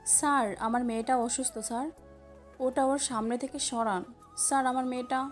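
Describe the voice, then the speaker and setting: A woman playing an anxious middle-aged mother in an audio story, pleading in a hurried, melodramatic way. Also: sits high, fairly even intonation, close to an everyday voice. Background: background music.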